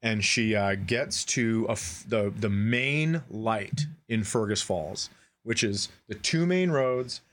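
A man's voice: fairly low pitch, expressive, slow, a bit bright. A middle-aged American man co-hosting a podcast, telling comic stories casually in a wry, profane way and acting out the dialogue. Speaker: podcast co-host. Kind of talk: casual comedic storytelling.

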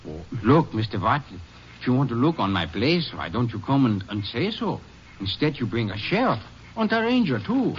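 A man playing an indignant, flustered German immigrant farmer in a 1950s radio drama, speaking halting English with a German accent. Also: mid-range voice, lightly acted. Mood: hurt, indignant. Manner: halting, excitable, broken English. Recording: noisy, muffled old 1950s radio recording.

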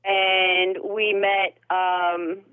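A woman's voice: mid-range voice, flat delivery, very slow, dry recording. A woman in her forties with a girlish-sounding voice, calling in as a guest and chatting openly in a casual interview. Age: 40s. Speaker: caller and guest. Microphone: phone. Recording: phone line.

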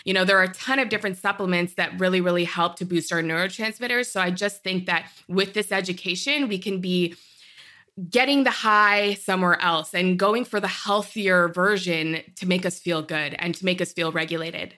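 Guest: woman, bright, slightly low voice, earnest explainer on a health podcast. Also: steady tone, moderate pace. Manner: earnest, lists remedies, says "you know" a lot. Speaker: podcast guest, trauma biology expert.

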